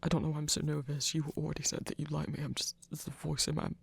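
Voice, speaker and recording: Actor as nervous werewolf hunter, creaky husky voice, halting stammer, insecure, soft ASMR romance roleplay. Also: medium pitch, flat delivery, no echo. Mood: nervous, flustered. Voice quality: breathy, gravelly. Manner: hesitant, stammering, self-doubting. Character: shy, insecure werewolf hunter falling in love.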